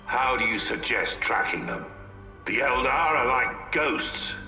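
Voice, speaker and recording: A blunt soldier, with a very bright, hard-edged voice. He sounds echoey and set back from the mic, with game music under him. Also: mid-range voice, lively intonation, measured pace, creaky, full character voice. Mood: skeptical. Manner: blunt, skeptical.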